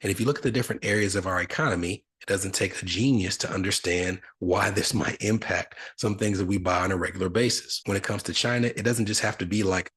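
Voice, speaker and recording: A man's voice: deep voice, a bit bright, slightly rough. A man hosting a finance podcast, explaining things in a casual, conversational way with asides. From United States. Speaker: podcast host.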